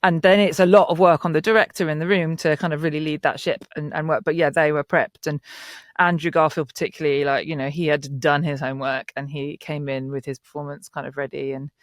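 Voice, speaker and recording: A man's voice: fairly high pitch, level tone, brisk, dry recording, clean studio sound. A man talking enthusiastically and in a rambling way in a casual panel conversation about his production work. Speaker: audio drama producer discussing casting.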